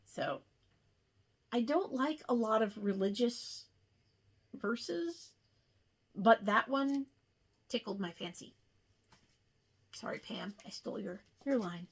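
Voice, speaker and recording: A woman hosting a casual craft show-and-tell, chatting in an unhurried way with rambling asides. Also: a little high, lively intonation, breathy edge. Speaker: cross-stitch video host.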